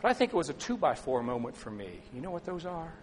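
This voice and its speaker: A middle-aged man preaching a sermon, telling a personal story and asking the congregation questions, sometimes loud and sometimes soft. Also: a little high, sing-song, fast, a little hiss or hum. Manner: conversational, asks the congregation questions, swings between loud and soft. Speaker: pastor.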